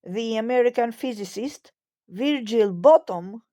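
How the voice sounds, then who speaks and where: A woman reading scripted history narration in a measured, formal manner. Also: on the high side, lively intonation, dark tone, dry recording, studio quality.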